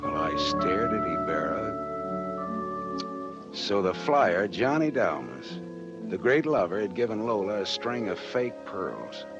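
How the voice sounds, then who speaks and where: An actor playing a wry, tough but decent American private detective in a 1940s radio drama, clipped and slangy. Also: male voice, lowish voice, big pitch swings, takes it slowly, over loud music, exaggerated voice. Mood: narrating, world-weary. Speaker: private detective and first-person narrator. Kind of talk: 1940s radio drama acting, hard-boiled narration. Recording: dull, lo-fi 1940s radio recording.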